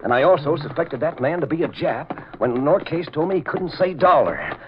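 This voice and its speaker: An actor playing a genial, inquisitive and teasing newspaper boss in a 1940s radio drama. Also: male voice, on the high side, sing-song, normal speed, slight background noise. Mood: musing. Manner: bantering, questioning. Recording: dull vintage radio recording.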